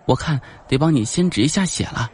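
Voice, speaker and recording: A man's voice: medium pitch, lively intonation, fairly quick, darkish tone, a little hiss or hum, voice pushed up, close to an everyday voice. A man voicing a kind but wary young woman in an audiobook, with a concerned, questioning manner. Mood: concerned, caring. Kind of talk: audiobook character acting.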